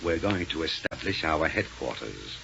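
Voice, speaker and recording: An actor playing a commanding, self-assured space villain who gives curt orders in a 1930s radio serial. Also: male voice, rumbling low, big pitch swings, moderate pace, gravelly, pushed and bright, heavily acted. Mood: smug, assured. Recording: muffled 1930s radio recording, faint noise.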